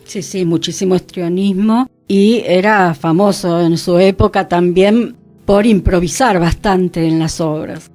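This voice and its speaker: A woman's voice: on the low side, steady tone, measured pace, a little mellow. Argentine woman hosting radio over music. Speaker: radio host. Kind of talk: conversational radio discussion about culture. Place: radio studio.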